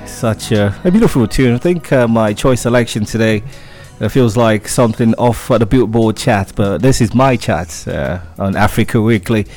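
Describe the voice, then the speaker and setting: A man's voice: on the low side, expressive, normal speed, darkish tone, soft background music. A man hosting a radio show, chatting through entertainment news with lots of fillers and restarts.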